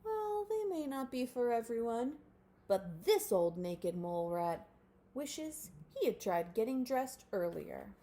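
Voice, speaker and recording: Elderly, regal male mole rat patriarch for a storybook woman performing, stately, slow, emphatic. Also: fairly high pitch, very animated, mellow, pitched above the performer's own voice. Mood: proclaiming. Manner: stately, declamatory. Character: wise, regal, heroic old grandfather mole rat.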